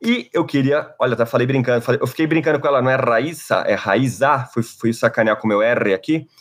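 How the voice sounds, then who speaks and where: A man's voice: medium pitch, fast talker. A man with a Brazilian Portuguese accent hosting a podcast alone, upbeat and playful in a casual chat. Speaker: podcast host.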